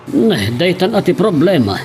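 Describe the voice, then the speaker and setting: A man's voice: sits high, big pitch swings, normal speed, darkish tone, a little hiss or hum. A man playing a concerned older father in an amateur Ilocano family drama. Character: concerned older father questioning his grown child's plans. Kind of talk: Ilocano radio-style family drama acting.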